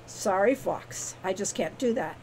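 A woman voicing an irritable, sarcastic male thief in a storybook tale, scoffing and deadpan. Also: medium pitch, a little slow. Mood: reserved, firm. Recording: clearly noisy background.

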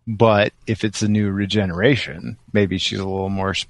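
A man chats casually as a podcast co-host. His voice sits on the low side, and the sound is dry with no room echo. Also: steady tone, normal speed. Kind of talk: casual chat.

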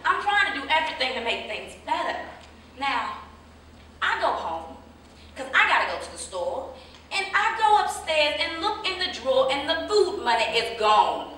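A roomy, distant stage recording carries a woman acting as a hardworking young mother at the end of her patience. She is emphatic and indignant, her forceful voice swinging up and down. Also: high-pitched, sing-song, normal speed, exaggerated voice. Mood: hurt, pleading. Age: young adult. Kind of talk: live staged play reading. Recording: echoey, distant stage recording with faint noise.

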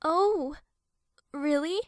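A woman's voice: sits high, extremely slow, dry recording, clean studio sound. A woman playing a meek, easily flustered young office worker in an audio drama, stammering and apologetic. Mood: shy, hesitant.

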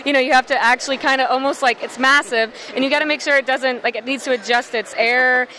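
A woman's voice: sits high, fairly even intonation, fast talker, bright, forceful tone. A woman in her twenties hosting casual interviews at a food festival, upbeat and chatty, speaking into a handheld mic. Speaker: host. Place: indoor festival hall. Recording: noisy festival hall.

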